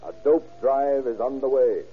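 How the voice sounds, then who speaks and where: A man's voice: mid-range voice, normal speed, a little hiss or hum. A man narrating a radio drama from a script in a grave, formal, dramatic 1930s style. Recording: thin, phone-like 1930s radio recording, music.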